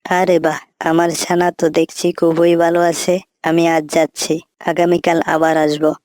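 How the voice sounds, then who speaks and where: Woman voicing a low, even-toned trusting crocodile parent. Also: moderate pace, no echo, studio quality, close to an everyday voice. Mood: pleased. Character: trusting, simple-minded crocodile parent of seven babies. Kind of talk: children's cartoon fable voice acting.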